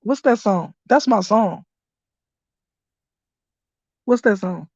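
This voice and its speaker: A hoarse woman hosting a live stream, talking in casual with loud, laughing, profane hype commentary. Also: mid-range voice, expressive, takes it slowly, no echo. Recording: dry, dull lo-fi stream audio.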